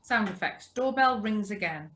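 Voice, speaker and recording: Mid-pitched woman announcing script cues. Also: expressive, moderate pace, fairly bright tone. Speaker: reader of stage directions and sound cues. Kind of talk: scripted cue reading, clipped announcements.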